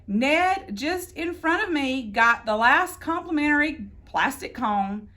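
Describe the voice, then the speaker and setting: A woman reading a children's picture book aloud in an expressive, theatrical way, drawing out words for emphasis. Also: high-pitched. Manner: theatrical, deliberate phrasing with drawn-out emphasis. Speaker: storybook reader.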